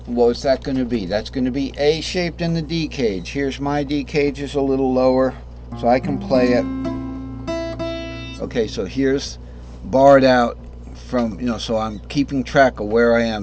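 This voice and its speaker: A hoarse man losing his voice, talking through a casual guitar lesson at an unhurried pace. He rambles and corrects himself, clearing his throat often. A guitar plays faintly behind him. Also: mid-range voice. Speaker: guitar teacher. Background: guitar playing.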